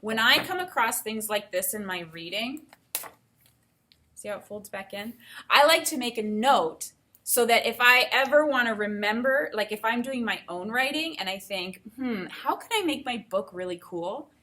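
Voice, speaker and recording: Woman, primary-teacher read-aloud: slightly high pitch, very bright tone, expressive and keen, explains things to young listeners. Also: normal speed. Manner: enthusiastic, explaining to children. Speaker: teacher reading a picture book aloud. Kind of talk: expressive children's read-aloud with instructional asides.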